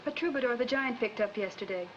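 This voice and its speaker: A woman plays a wry, brusque cook in a fairy-tale castle. She fires off curt lines quickly. The old comedy film sound is muffled and noisy. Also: fairly high pitch, fairly bright tone, close to an everyday voice. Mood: dry. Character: brusque, dry-witted female castle cook. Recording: dull old film soundtrack, clear noise.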